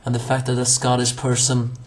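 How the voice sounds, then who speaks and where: Man, young adult, hoarse, rambling, halting, anime review, mid pitch. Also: completely flat delivery. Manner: rambling, halting, many fillers and restarts. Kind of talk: solo episode review.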